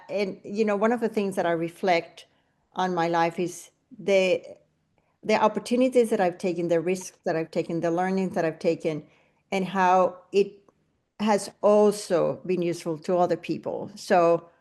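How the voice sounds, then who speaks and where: Venezuelan-accented woman hosting a podcast, lowish voice, rambly and thoughtful. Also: normal speed, darkish tone. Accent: Venezuelan Spanish. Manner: reflective, speaks in long winding sentences with fillers. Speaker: podcast host. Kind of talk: casual interview conversation.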